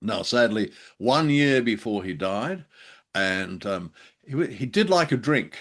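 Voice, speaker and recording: An older man chatting casually as a show co-host, jokey and anecdotal, with halting hesitations. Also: fairly low pitch, lively intonation, fairly bright tone. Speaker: co-host of a radio drama show. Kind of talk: casual bantering chat.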